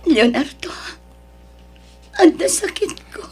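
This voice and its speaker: Woman acting high-voiced, plaintive ailing Ilocano wife. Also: lively intonation, takes it slowly, dark tone, faint music behind. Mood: fearful, sorrowful. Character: ailing wife, tearful, ashamed, pleading with family. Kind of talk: Ilocano radio-style melodrama acting.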